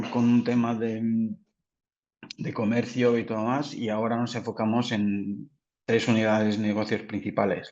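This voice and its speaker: Brief: low Portuguese-accented man, careful webinar presenter, muffled lo-fi audio. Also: darkish tone. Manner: careful, with frequent restarts. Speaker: product manager presenting. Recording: dull lo-fi audio.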